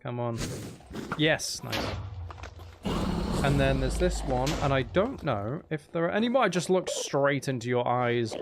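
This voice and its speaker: A man doing live, casual video game commentary as a streamer, animated and given to exclaiming and playful complaints. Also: mid-range voice, a little slow, creaky, noisy background.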